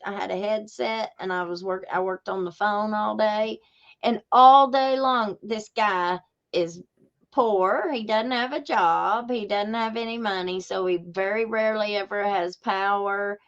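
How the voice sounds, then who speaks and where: A woman's voice: mid-range voice, highs cut off. A woman with an Appalachian accent telling stories casually on a podcast, folksy and emphatic, often repeating herself for effect. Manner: folksy, emphatic, repetitive storytelling. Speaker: podcast host. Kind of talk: casual true-crime podcast talk.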